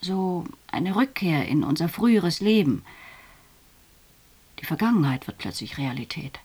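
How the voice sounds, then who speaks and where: An actress in a radio drama playing a husky-voiced witness who recounts memories in halting, reflective phrases. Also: female voice, fairly low pitch, sing-song, darkish tone, full character voice. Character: witness recalling a journey into a forbidden zone. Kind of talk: radio drama, spoken eyewitness account.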